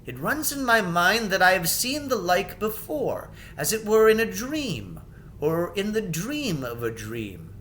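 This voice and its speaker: A man's voice: huge pitch swings, measured pace, a bit bright, full character voice. A man voicing a solemn, courtly young king in a storybook fantasy, speaking in formal, archaic, declamatory phrases. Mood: uneasy, solemn. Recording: background noise with low rumble.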